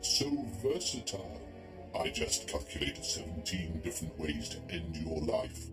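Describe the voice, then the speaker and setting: An actor playing an arrogant cybernetic Sith lord, menacing and haughty, in a video game. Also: male voice, rumbling low, animated, measured pace. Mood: gloating menace. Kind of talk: video game villain acting. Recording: music behind the voice.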